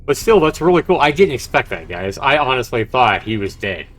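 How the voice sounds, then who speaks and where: A man's voice: mid-range voice, big pitch swings, brisk, a bit bright. A man livestreaming a visual novel, reading the text aloud dramatically and reacting expressively as he comments. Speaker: game streamer. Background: game music.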